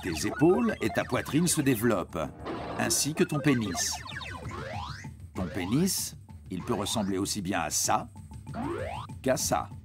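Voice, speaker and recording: Male narrator for an educational cartoon; playful, very animated pitch, strong music bed underneath. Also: unhurried, long pauses. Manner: playful, addresses the young listener directly. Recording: prominent music behind narration.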